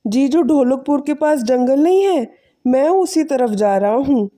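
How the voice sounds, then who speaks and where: Woman playing a mellow, humble poor village father. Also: high-pitched, unhurried. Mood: humble, earnest. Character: poor, weary, kind village father and farmer. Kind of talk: animated moral story dialogue.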